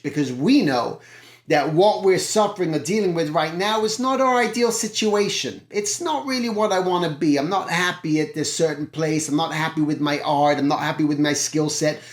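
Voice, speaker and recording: An earnest man with a slightly high voice gives a motivational talk as an art teacher. He encourages his listeners and keeps looping back on his own phrases and questions. The sound is roomy and echoey. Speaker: art teacher and channel host.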